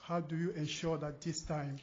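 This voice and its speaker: Man at a talk, higher voice, very flat and steady, muffled recording. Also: moderate pace. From Cameroon. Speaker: audience member asking a question. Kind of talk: question from the floor at a talk.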